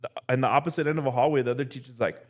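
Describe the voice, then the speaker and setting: A young male teacher telling casual stories as a podcast guest, relaxed and full of "like" and "dude". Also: medium pitch, very fast. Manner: relaxed, heavy use of "like" and "dude". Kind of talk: casual storytelling chat.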